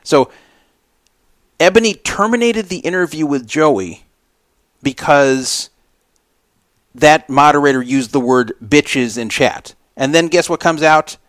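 A man's voice: a little high, expressive, slow, long pauses, a bit bright, no room sound, studio quality. A man hosting a casual talk show, giving rambling, opinionated commentary and repeating himself for emphasis. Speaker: podcast host.

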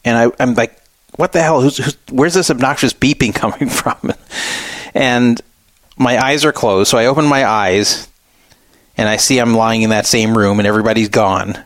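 A man's voice: medium pitch, normal speed, a bit bright, no room sound. A middle-aged American man hosting a talk show, telling personal stories in a casual, rambling, conversational way with emphatic repetition. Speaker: radio show host.

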